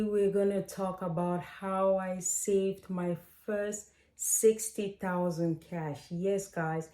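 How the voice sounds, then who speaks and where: A woman's voice: on the low side, dark tone, reverberant. A woman in her late twenties from Cameroon, hosting a casual advice vlog and talking straight to viewers in a chatty, direct way. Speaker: financial coach and host.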